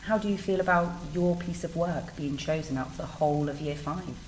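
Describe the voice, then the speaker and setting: A woman's voice: deep voice, brisk, rough recording. A woman interviewing in an encouraging, affirming way, like a teacher hosting a school podcast. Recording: faint background noise.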